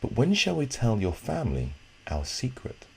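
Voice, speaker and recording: A man voicing a gentle, reflective woman in her thirties in a children's storybook. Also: mid-range voice, huge pitch swings, moderate pace, creaky, a little hiss or hum, voice pushed up. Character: Josephine, gentle adult woman in her thirties, settled expatriate.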